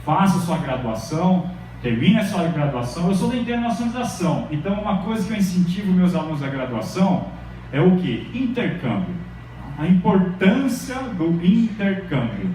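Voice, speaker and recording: A man's voice: high voice, big pitch swings, normal speed, warm tone, recorded from a distance, a little hiss or hum. Man lecturing in a very echoey hall. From Brazil. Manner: chatty, keeps checking in with the audience. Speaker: university professor giving a lecture. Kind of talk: informal lecture to students.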